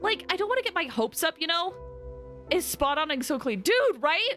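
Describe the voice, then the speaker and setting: Bright, animated young woman streaming. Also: extremely high pitch, normal speed. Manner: effusive, exclaiming, giggly. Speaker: game streamer. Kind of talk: casual livestream chat. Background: game music.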